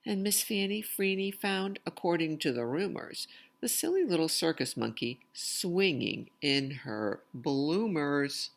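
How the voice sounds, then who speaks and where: A woman's voice: sits low, animated, measured pace, vocal fry. A woman storyteller reading a children's picture book expressively, with chatty asides to young listeners. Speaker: read-aloud storyteller.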